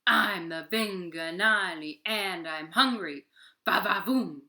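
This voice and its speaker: A woman storyteller voicing a big, boastful male monster who demands stew, loud and slow. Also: heavily acted. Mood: menacing. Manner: booming, slow and menacing.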